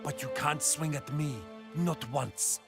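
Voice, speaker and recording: An actor playing a cocky, playful duelist in a fantasy video game, taunting in a theatrical sing-song way. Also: male voice, a little high, steady tone, slow, vocal fry. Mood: teasing, eager. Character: cocky, playful male duelist boasting of his speed. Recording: game music behind.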